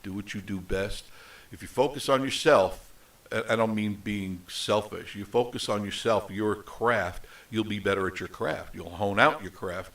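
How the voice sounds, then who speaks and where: A middle-aged man talks off the cuff on a live show, his nasal voice sitting somewhat low. He wanders through thoughts with plenty of ums and restarts. He sounds a little distant from the microphone. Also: moderate pace, gravelly, a bit bright. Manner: rambling, with many fillers and restarts. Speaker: host of a live travel show. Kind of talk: unscripted casual live chat. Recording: voice set back from the mic.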